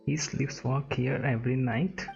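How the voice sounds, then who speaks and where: Creaky-voiced man commenting on a game. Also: medium pitch, fairly even intonation, a little slow, highs cut off. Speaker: game streamer. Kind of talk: casual gameplay commentary. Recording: game music behind.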